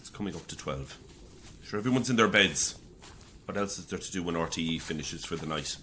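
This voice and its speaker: A man's voice: deep voice, fairly quick, pushed and bright, slight background noise. A man acting an intense, prickly Irish priest and bereaved father in an audio drama, preaching and combative. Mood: wry, preachy.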